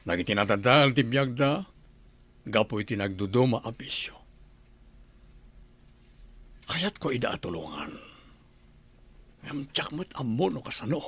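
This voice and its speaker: Radio drama patriarch played by a man: older ex-soldier, earnest, mid-pitched voice with lively, swooping intonation. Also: moderate pace, long pauses, breathy edge, heavily acted. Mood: grave, hesitant. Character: earnest older father, ex-soldier general with political hopes. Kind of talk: radio drama acting in Ilocano.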